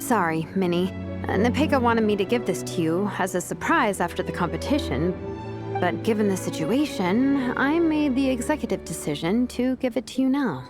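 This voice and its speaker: An actress playing a confident vice captain and teacher in a video game, speaking casually, diplomatically and persuasively. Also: female voice, medium pitch, lively intonation, normal speed, music in the background, close to an everyday voice. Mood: casual, assured.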